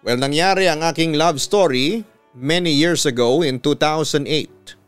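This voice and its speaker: A Filipino man, a radio announcer, reading a listener's letter dramatically with drawn-out emphasis on key phrases over background music. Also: on the high side, clear, resonant tone, no echo. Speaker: radio announcer and story reader. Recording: music bed behind the voice.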